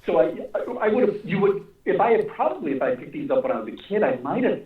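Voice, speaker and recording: A man's voice comes through echoey and thin, like a phone line. He is a writer in a casual interview, circling back over his phrases in a halting, rambling way as he works out his thoughts. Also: fairly high pitch, big pitch swings. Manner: halting, rambling, repeats phrases as he thinks aloud. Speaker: animation and comic writer being interviewed. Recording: echoey, distant, phone-like call audio.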